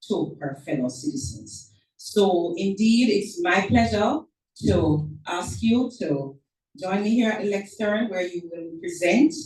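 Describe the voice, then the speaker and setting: Low, mellow woman introducing a speaker. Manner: reads a prepared biography, with small slips and self-corrections. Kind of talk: formal introduction speech. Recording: echoey room from far off.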